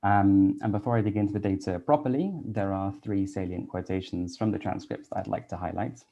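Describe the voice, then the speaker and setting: A man in his thirties from Wales, a teacher and researcher giving a prepared conference talk in a measured, read-out way with soft "um" fillers. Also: sits low, fairly even intonation, vocal fry, lo-fi sound.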